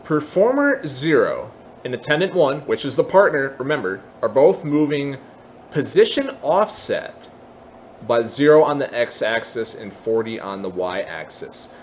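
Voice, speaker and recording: A man gives an informal tutorial walkthrough, explaining each step as he goes. His pitch moves around a lot, and he often reasons out loud, admitting what he does not know. There is faint noise behind his voice. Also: very animated, a little slow. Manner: thinking aloud, openly tentative about details. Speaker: tutorial presenter.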